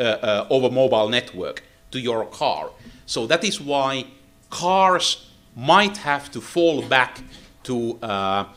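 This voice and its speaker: Finnish-accented man giving a halting technical lecture. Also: mid-range voice, fairly bright tone. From Finland. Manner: halting, many "uh, uh" fillers. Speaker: seminar lecturer.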